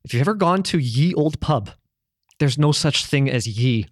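A man's voice: mid-range voice, animated, normal speed, no echo. Rambling, enthusiastic man with a dry sound. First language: English, grew up also speaking French at home. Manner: rambling and enthusiastic, many restarts, casual swearing. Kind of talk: casual conversational explaining.

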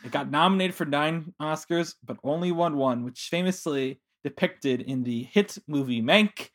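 A man's voice: fairly high pitch, a bit bright. A man from Chicago with a Chicago accent, hosting a casual podcast chat in a playful, joking way. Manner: playful, jokey, quick asides. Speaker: podcast host.

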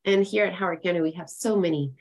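Encouraging woman podcast host, lowish voice. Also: quick pace, darkish tone. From Ecuador. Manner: encouraging, affirming. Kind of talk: conversational interviewing.

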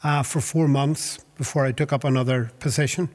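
A man's voice: mid-range voice, fairly even intonation, darkish tone, long reverb tail. Older Northern Irish man recalling. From Northern Ireland. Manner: measured, hesitant with fillers and restarts. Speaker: interviewee, Baptist minister. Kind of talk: reflective storytelling.

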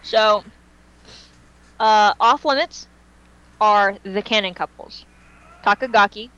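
A woman's voice: medium pitch, takes it slowly, a bit bright, clear, resonant tone, muffled recording. A woman chatting casually as a fan podcast panelist, speaking in a gushing, emphatic and dramatic way.

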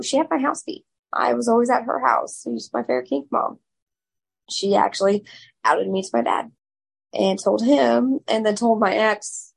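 A woman guest with a raspy voice, speaking loudly and frankly in a candid interview. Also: medium pitch. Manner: loud, frank and open.

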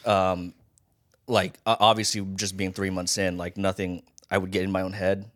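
A man's voice: deep voice, little pitch movement, normal speed, a bit bright. An American man hosting a casual podcast chat, talking in slangy, profane, bro-heavy language. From Hawaii and Las Vegas, United States. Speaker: podcast host.

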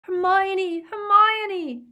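A woman voicing a hot-headed, desperate teenage boy who shouts loudly in an audiobook. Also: very high-pitched, fairly even intonation, drawn-out words, highs cut off, pitched above the performer's own voice, exaggerated voice. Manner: shouting, bellowing.

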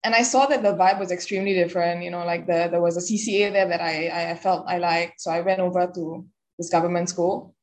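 A woman's voice: on the low side, steady tone, brisk, a little mellow. A young woman from Singapore with a Singaporean English accent, chatting casually as a podcast guest and filling her speech with "like". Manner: chatty, many "like" fillers. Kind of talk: casual interview chat.

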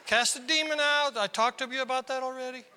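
A man from South Dakota preaching a sermon as pastor, with a squeaky tenor voice and emphatic delivery that climbs into sudden loud bursts. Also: fairly even intonation, fairly quick, some chatter behind, slight background noise. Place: large church hall. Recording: reverberant hall.

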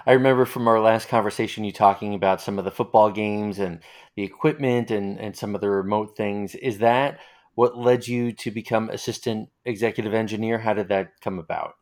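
A middle-aged man acts as interviewer in a conversational oral-history exchange. His pitch is a bit on the low side. He feels his way toward each question, circling around before he asks it. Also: fairly even intonation, a little fast, darkish tone. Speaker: interviewer, host.

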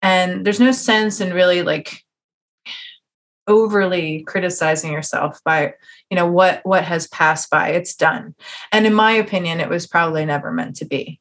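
A woman's voice: on the low side, fairly even intonation, normal speed, fairly bright tone. A woman hosting a conversational podcast interview, talking at length in an earnest, encouraging way. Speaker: podcast host.

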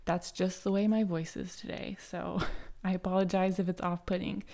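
A young woman with a slightly raspy voice hosts a relaxed solo podcast. She talks in a loose, rambling way, full of "um" and "like", and keeps coming back to restart her sentences. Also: on the low side, normal speed. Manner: chatty, many fillers and restarts, light laughs. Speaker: podcast host. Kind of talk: casual solo podcast storytelling.

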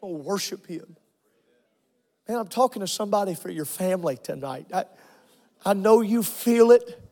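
A male pastor preaching and praying with fervour, repeating phrases, out of breath and swinging from shouts to low murmurs. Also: expressive, moderate pace. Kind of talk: Pentecostal preaching and prayer. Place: church sanctuary with congregation.

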